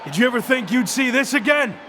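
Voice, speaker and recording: A man playing a cocky, determined returning wrestler in a video game, delivering an emphatic and deliberate promo. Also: extremely high pitch, lively intonation. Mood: reflective, defiant. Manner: emphatic, deliberate arena speechmaking. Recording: crowd and arena noise behind. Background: loud arena crowd ambience.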